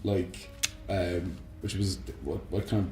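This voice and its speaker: A man's voice: sits low, little pitch movement, takes it slowly, warm tone. A man co-hosting a casual, rambling podcast chat, giving his opinions. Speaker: podcast co-host. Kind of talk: casual chat about video game films. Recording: roomy echo, music underneath.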